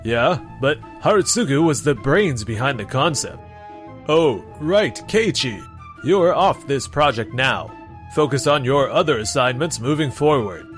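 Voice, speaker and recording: A man's voice: mid-range voice, lively intonation, measured pace, a bit bright, soft background music. A man playing a defensive, blustering middle manager in an anime-style manga dub. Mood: dismissive. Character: defensive, flustered male middle manager, eager to placate.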